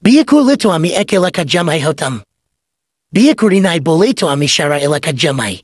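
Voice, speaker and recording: A man's voice: on the high side, big pitch swings, brisk, dry recording, clean studio sound. A man playing a sly, cheeky village goat thief in a comedy cartoon, with a theatrical manner. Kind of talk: cartoon voice acting.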